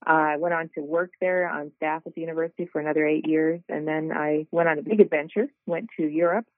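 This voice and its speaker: A woman's voice: deep voice, fast, no echo. A middle-aged woman talks about her path in a loose, easygoing way, full of small fillers. Her voice comes through a thin, tinny phone line, dry and close. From South Dakota, USA. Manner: relaxed, rambling storytelling with many fillers. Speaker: guest, ministry worker. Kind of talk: casual interview over the phone.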